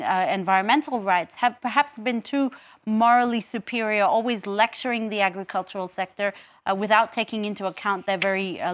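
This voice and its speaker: A woman's voice: mid-range voice, a bit bright, no room sound. Woman presenting a press review, dry close sound. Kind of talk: live newspaper review, explanatory.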